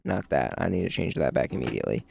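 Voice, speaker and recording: A man's voice: very deep voice, lively intonation, fast, vocal fry, darkish tone, no echo, clean studio sound. A male streamer chatting casually while building in a game, muttering to himself and calling his viewers "bro".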